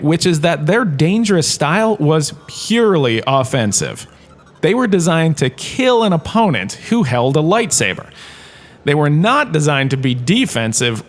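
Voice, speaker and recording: Man playing a vain, animated professor, higher-pitched. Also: moderate pace. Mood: excitable, boastful. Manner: self-satisfied, chatty, theatrical asides. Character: vain, washed-up holodrama star lecturing as a professor. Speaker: lecturer. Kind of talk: comic in-universe audio drama lecture.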